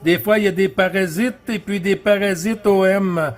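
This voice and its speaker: A man's voice: high voice, fairly even intonation, normal speed. A man with a Quebec French accent chatting casually as a CB radio operator, often repeating his phrases and addressing others in a friendly way. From Quebec, Canada. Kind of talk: casual radio contact chat.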